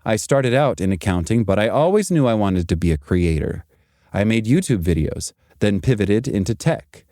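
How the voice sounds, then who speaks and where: Mellow man, motivational talk. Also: lowish voice, lively intonation, no echo, studio quality. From Zimbabwe and South Africa. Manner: emphatic, deliberate, short punchy sentences. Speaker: podcast host and career speaker. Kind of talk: scripted motivational career talk.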